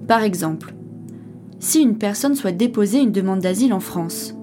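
A woman giving clear, scripted documentary narration that explains a procedure. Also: mid-range voice, expressive, normal speed. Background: music.

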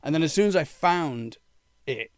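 A man's voice: a little high, lively intonation, normal speed, no echo, clean studio sound. A man in his fifties from Cornwall with an English accent, telling long rambling stories in a casual interview and swearing freely. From England (Cornwall). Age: 50s. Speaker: guest.

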